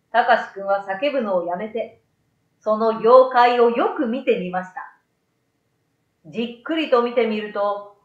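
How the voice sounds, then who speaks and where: A middle-aged woman reading a scripted story aloud as a storyteller. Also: on the high side. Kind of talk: scripted story narration for children and adults. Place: small plain room, indoors. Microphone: desk microphone, off to the side. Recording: roomy echo, slightly dull sound.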